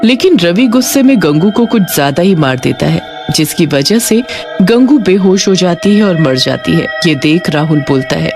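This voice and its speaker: A woman's voice: on the low side, animated, normal speed. A woman narrating a scripted cartoon horror story as its storyteller. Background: prominent background music.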